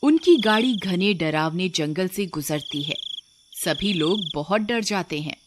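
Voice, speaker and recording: A woman's voice: lowish voice, lively intonation, a little slow, noisy background. Woman narrating a scripted story.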